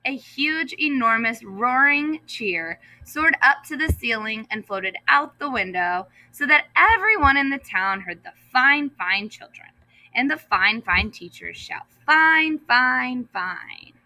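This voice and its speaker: A woman, a teacher, reading a children's story aloud expressively and deliberately, stressing repeated words. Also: on the high side, expressive, pushed and bright, dry recording, rough recording. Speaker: first grade teacher. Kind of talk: children's story read-aloud with asides to pupils.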